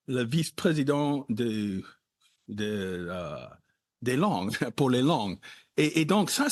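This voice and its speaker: Animated male interview host, dry sound. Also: fairly high pitch, dry recording. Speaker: podcast host. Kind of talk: conversational interview.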